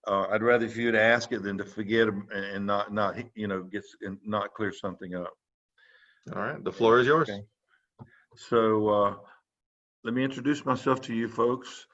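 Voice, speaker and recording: A man's voice: on the low side, fairly even intonation, moderate pace, fairly bright tone. A man, giving an informal lecture to students as a physician, rambling with many fillers and restarts. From South Carolina. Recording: muffled, dull online lecture audio.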